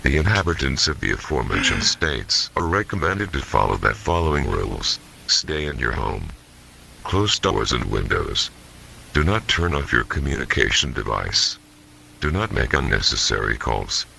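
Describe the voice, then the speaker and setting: A man's voice: a bass voice, big pitch swings, moderate pace, creaky, sharp tone, slight background noise, full character voice. A man playing a grave emergency alert announcer, reading formal official warnings in an impersonal, authoritative way. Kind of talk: scripted emergency broadcast narration.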